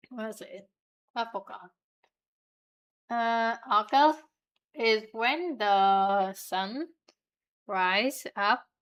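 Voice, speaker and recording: A young woman with a Vietnamese accent chats with her viewers while drawing on stream. She talks slowly in a bright, very clear voice. She giggles often and stays relaxed and easygoing. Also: mid-range voice, poor audio.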